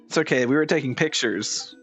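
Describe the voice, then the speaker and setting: A male game streamer talks in a casual, slangy way over his play. His voice is mid-pitched, and he tends to repeat phrases as he reacts, with faint music behind him. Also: brisk, fairly bright tone. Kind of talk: casual gameplay commentary.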